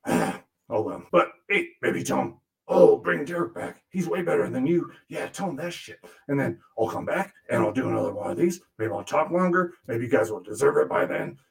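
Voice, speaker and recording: A man's voice: mid-range voice, creaky. A man voicing a crude, sarcastic male demon puppet who grumbles and swears through a comic monologue.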